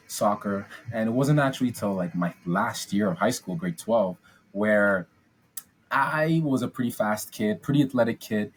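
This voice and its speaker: A man's voice: lowish voice, slight background noise, rough recording. A man in his twenties from Ghana, telling his story as a podcast guest in a chatty, upbeat way with many ums and restarts. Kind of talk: casual interview storytelling.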